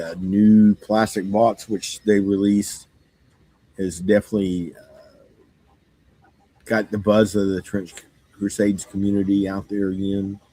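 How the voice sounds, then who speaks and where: Hoarse deep-voiced man rambling casually. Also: darkish tone, no echo, rough recording. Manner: halting, many fillers and restarts. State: hoarse, losing his voice. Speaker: game store owner. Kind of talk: casual monologue.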